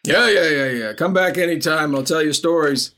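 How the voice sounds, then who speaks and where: A man's voice: a little high, moderate pace, sharp tone. A man voicing a rambling, odd old hermit who mumbles absurd boasts.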